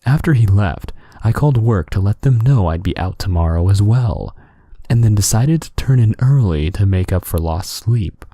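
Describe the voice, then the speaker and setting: A man's voice: on the low side, lively intonation, normal speed, mellow, dry recording, clean studio sound. A man narrating a creepy first-person story in a relaxing bedtime-story style. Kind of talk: scripted horror story narration, relaxing ASMR-like bedtime reading.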